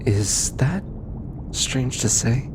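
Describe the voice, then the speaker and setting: A man voicing a gentle, teasing alien researcher in an intimate ASMR roleplay, hesitant and affectionate. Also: medium pitch, animated, extremely slow, background noise, pitched above the performer's own voice. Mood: tender. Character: gentle, teasing, grateful male alien researcher aboard spacecraft.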